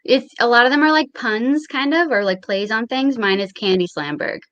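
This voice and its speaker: A woman's voice: a little high, normal speed, fairly bright tone, no room sound, highs cut off. A woman in her twenties chatting casually as a guest, giggly and self-deprecating. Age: 20s. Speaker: guest, comedian. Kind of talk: casual chat.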